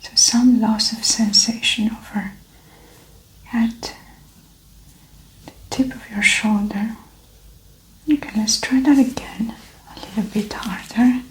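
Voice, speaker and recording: A woman's voice: a little high, fairly even intonation. Whispering woman in a roomy ASMR exam. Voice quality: whispery, breathy. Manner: gentle, instructive, unhurried. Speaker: ASMR roleplay examiner. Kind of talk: soft-spoken ASMR medical exam roleplay. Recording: roomy echo, faint background noise.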